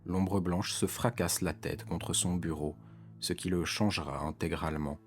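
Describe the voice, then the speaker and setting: Casting: male explainer narrator, deep register, serious and measured, faint music underneath. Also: fairly even intonation. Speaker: narrator of video essay. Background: background music.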